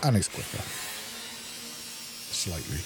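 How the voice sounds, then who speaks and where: A man giving casual playthrough commentary as a gaming host, thinking aloud in an unhurried way as he plays. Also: deep voice, very animated, creaky, a little hiss or hum.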